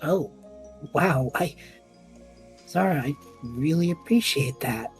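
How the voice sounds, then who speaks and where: Kind-hearted, modest young male adventurer for audio drama, played by a woman: low, husky, soft music behind. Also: animated, takes it slowly, heavily acted. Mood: humbled.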